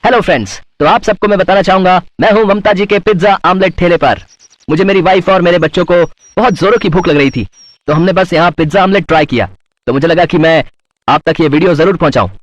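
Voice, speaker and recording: A man's voice: high-pitched, fast, no echo, dull audio. A man playing a polite, enthusiastic food blogger in a cartoon story. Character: cheerful male food blogger, family man. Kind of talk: Hindi moral-story cartoon voice acting.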